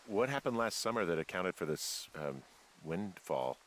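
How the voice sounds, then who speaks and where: A lowish voice with faint noise behind it: a laid-back, middle-aged American man working as a fire lookout. He speaks in a dry, understated, slightly hesitant way, as in a story-driven video game. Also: sing-song, moderate pace, a bit bright. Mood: low-key, casual. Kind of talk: naturalistic video game dialogue.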